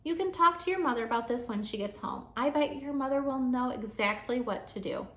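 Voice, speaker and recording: A woman's voice: high-pitched, fairly quick, a bit bright, reverberant. A woman voicing a patient, firm, slightly weary grandmother in a children's storybook reading. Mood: soothing. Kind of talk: children's storybook read-aloud.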